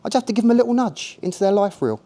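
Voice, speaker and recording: A man's voice: high voice, lively intonation, rapid-fire, mellow, pitched above the performer's own voice. A man voicing a cheeky, laid-back male afterlife worker with a Cockney accent tinged with West Country, chirpy and matter-of-fact. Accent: Cockney with a hint of West Country.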